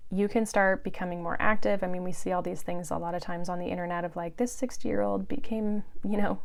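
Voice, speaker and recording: A woman in her early thirties sits at the mic alone for a podcast episode, talking in a chatty, unscripted way. Her voice sits a little low for a woman, and she drops in lots of likes and you-knows. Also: steady tone, brisk, vocal fry. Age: 30s. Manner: chatty, frequent fillers. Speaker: podcast host. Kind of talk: casual monologue.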